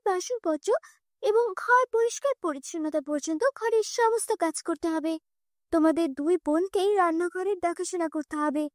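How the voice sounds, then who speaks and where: A woman's voice: extremely high pitch, no echo, clean studio sound. A woman voicing a cruel, scolding, bossy aunt in a children's cartoon story. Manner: sharp, bossy, sarcastic. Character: cruel, scolding aunt who begrudges her orphaned nieces.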